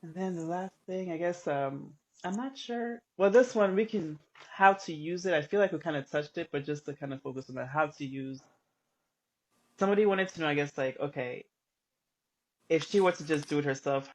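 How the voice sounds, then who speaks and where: Guy hosting a chat stream, kinda high voice, soft and hesitant, trails off. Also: brisk, poor audio. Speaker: livestream host. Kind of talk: casual conversational hosting.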